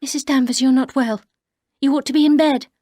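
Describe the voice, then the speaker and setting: A woman's voice: animated, brisk, a little mellow, dry recording, clean studio sound. A woman doing a pleading timid young wife. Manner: high, pleading, raised.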